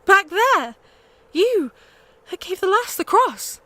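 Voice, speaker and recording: A woman's voice: very high voice, big pitch swings, slow, sharp tone, no room sound, heavily acted. An actress playing an earnest young peasant woman saint in a radio play, speaking with direct intensity. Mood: impassioned. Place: actor's home.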